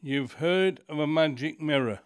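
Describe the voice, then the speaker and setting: A man's voice: fairly high pitch, a little slow, clear, resonant tone, faint music behind, lightly acted. A man voicing a cryptic magic TV screen. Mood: teasing. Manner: teasing, cryptic. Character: mysterious magic TV screen, male.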